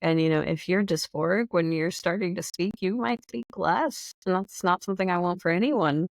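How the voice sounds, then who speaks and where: A woman speaking on a podcast in a casual way, giving steady, supportive explanations and often agreeing. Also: lowish voice, normal speed, vocal fry, no room sound. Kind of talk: casual conversation.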